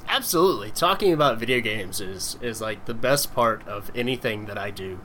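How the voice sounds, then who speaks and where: Lively upbeat male podcast host. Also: lowish voice, a little fast, a little hiss or hum. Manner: friendly, joking, upbeat. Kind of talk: podcast intro and interview.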